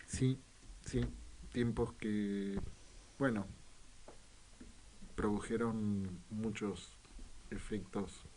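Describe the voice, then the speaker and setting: A man hosting a reflective radio conversation, with halting, thoughtful phrasing. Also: lowish voice, steady tone, darkish tone, a little hiss or hum, low-quality recording. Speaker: radio host.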